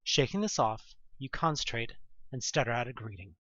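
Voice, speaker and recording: A man narrates a dramatic audio story with a lively, swooping delivery and a medium-pitched voice. The recording is very dry and close, with a slightly dull tone. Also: normal speed, no room sound. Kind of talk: dramatic second-person scripted story narration.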